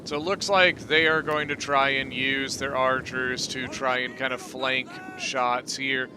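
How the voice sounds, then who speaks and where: A man hosting his gaming channel, giving relaxed, chatty commentary on a battle replay, peppered with "you know" and "uh". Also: mid-range voice, a little slow, background noise.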